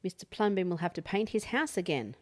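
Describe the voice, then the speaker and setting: A woman's voice: lowish voice, expressive, a little fast. Lady doing fussy exasperated storybook neighbour, complaining and emphatic, wants everything the same. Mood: disappointed, nagging. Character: disapproving, exasperated crowd of conformist street neighbours.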